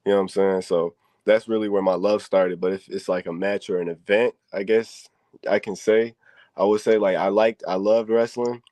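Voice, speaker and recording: A man's voice: on the low side, steady tone, moderate pace, darkish tone, no room sound. A young man, a wrestler guest on an interview, talking in laid-back slang and saying "you know what I'm saying" constantly. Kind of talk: casual interview chat.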